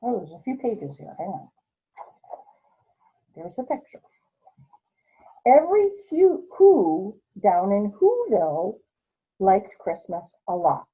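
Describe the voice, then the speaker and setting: An American woman hosting a read-aloud storytime for children in an encouraging, expressive manner. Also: mid-range voice, slow, pauses often, husky, phone-quality sound. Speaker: host, nonprofit executive director. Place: office.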